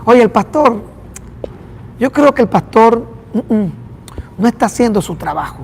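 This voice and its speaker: High-voiced man preaching in a reverberant hall. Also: measured pace, vocal fry, slight background noise. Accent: Venezuelan. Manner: animated preaching with acted-out dialogue. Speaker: pastor. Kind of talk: sermon.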